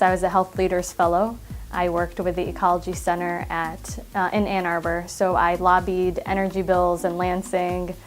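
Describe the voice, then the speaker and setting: A young adult woman from Michigan who grew up speaking Arabic first, a clinic director talking earnestly in an interview, often saying "you know". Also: fairly low pitch. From Michigan, USA. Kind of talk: interview monologue.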